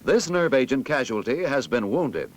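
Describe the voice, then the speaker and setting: American male narrator for vintage military training film: authoritative, clearly enunciated, mid-pitched with lively intonation. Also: normal speed.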